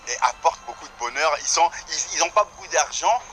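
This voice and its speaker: A man's voice: a little high, expressive, brisk, fairly bright tone. A man chatting enthusiastically and repeating words as he talks. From Belgium. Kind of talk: casual chat.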